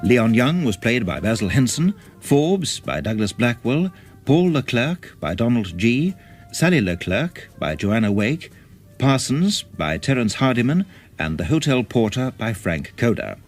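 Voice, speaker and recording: A man's voice: mid-range voice, big pitch swings, a little slow, soft background music. A man reading scripted closing credits as a radio announcer.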